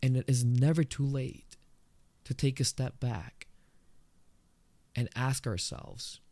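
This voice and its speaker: An earnest man with a Filipino accent hosts a solo podcast, his voice sitting at an ordinary middle pitch. He talks in a conversational, reflective way and leaps upward for emphasis. The recording is close and dry, with almost no room sound. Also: fairly even intonation, takes it slowly, mellow, no room sound. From Philippines. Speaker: podcast host.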